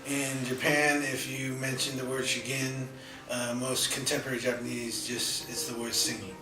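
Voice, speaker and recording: A man's voice: medium pitch, level tone. Guy from california answering interview qs, lots of uhs, far off mic, echoey room, music under it. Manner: reflective, halting with many fillers. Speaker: poet and educator, interview guest. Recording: roomy echo, distant mic, music.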